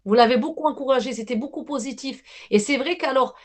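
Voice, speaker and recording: Woman, medium on a video call, higher voice, hesitant, repeats phrases, reassuring. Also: fairly quick. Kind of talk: live medium reading with callers.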